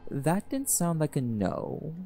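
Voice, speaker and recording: A man's voice: on the high side, huge pitch swings, takes it slowly, background noise, exaggerated voice. An actor voicing a laid-back, teasing male jaguar shifter in an audio roleplay, blunt and flirtatious, with music playing behind.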